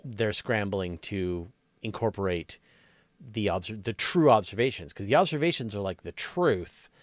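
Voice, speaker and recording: A man with a fairly low voice hosts a casual interview. He talks conversationally, as one does when putting questions to a guest. The sound is dry, with very little room around it. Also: animated, normal speed, no room sound. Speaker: interview host.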